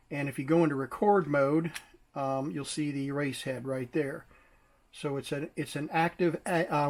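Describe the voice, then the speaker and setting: A man casually demonstrating and explaining a vintage device, unhurried and pausing to search for his words. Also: on the high side, a little mellow. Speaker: vintage tech collector presenting a device.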